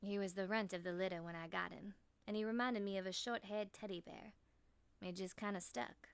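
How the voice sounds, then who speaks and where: Woman voicing a honey-smooth, friendly Southern woman. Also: medium pitch, a little fast, no echo, close to an everyday voice. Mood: warm, amused. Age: mid-thirties. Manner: relaxed, folksy. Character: friendly, easygoing Southern woman in her thirties.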